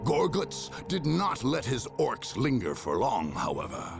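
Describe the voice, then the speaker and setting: A man acting as a grave, theatrical narrator telling a sci-fi war saga in a measured, scripted game style. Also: medium pitch, wildly swooping pitch, gravelly, over music, heavily acted. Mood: wry, grandiose.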